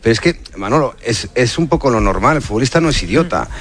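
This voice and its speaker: A man's voice: mid-range voice, animated, fast talker, a bit bright, husky. A man debating football as a pundit on live sports radio, pushing his point and repeating it.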